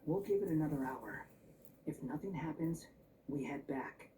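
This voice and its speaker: Casting: blunt brooding game loner, low register, dry and terse, distant noisy capture. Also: female voice, animated, normal speed, dark tone. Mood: suspicious. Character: brooding, blunt young loner, grudgingly helpful game character. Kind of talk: video game voice acting.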